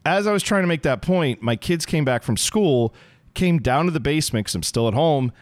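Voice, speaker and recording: Hoarse man rambling about soccer. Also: on the high side, lively intonation, fairly quick, no room sound. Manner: rambling, self-deprecating storyteller. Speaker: podcast co-host. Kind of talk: casual sports chat. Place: home basement.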